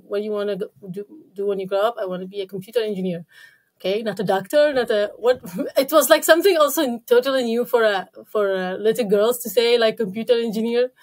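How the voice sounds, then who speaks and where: A woman from Iraq, a podcast guest, talking casually and haltingly with many fillers and restarts. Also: mid-range voice. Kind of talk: casual interview talk.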